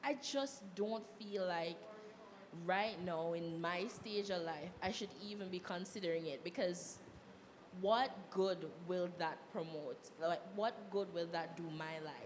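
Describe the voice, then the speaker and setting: A hoarse 21-year-old woman student speaking frankly and bluntly on a live panel. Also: fairly low pitch, measured pace. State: hoarse, a bit sick. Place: event hall, live audience. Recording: hall reverb with faint noise.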